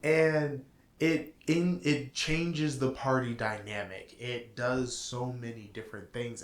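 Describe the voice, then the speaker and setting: A man hosting a podcast in casual chat, talking with enthusiasm and laughing often. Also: mid-range voice. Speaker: podcast host. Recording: roomy with faint background noise.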